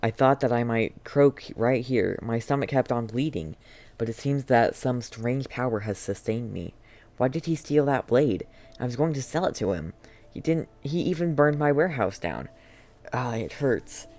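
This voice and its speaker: A man reading out a wounded, regretful man's last letter from a game, halting and earnest. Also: mid-range voice, lively intonation, darkish tone, close to an everyday voice. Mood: pained. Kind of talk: dramatic reading of game text.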